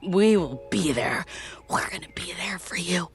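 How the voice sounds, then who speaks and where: A woman voicing a drunk, affectionate, silly young friend who rambles, in an animated comic drama. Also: on the low side, soft background music. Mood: drunkenly devoted. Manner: slow, rambling. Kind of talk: animated motion-comic voice acting.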